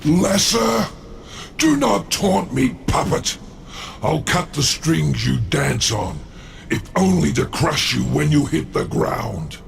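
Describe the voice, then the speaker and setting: An actor playing a proud, scheming demon lord in a video game, speaking with gravelly, imperious menace. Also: male voice, big pitch swings, a little hiss or hum, heavily acted. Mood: enraged. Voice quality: gravelly, low. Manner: imperious, deliberate, silky menace. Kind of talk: video game cutscene acting.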